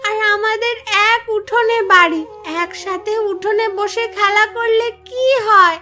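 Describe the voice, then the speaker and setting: A woman's voice: very high voice, steady tone, measured pace, pushed and bright, heavily acted. A woman voicing a poor, innocent little village girl in a cartoon fairy tale, speaking in a childlike, plaintive way. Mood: innocent, wistful. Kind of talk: animated fairy tale dialogue.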